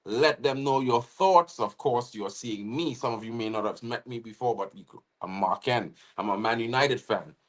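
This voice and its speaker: A man's voice: medium pitch, normal speed, few pauses. Chatty Trinidadian man streaming live. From Trinidad and Tobago. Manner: chatty, shouting out chat names, frequent "you know what I mean". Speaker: watchalong co-host. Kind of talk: casual live-stream chat.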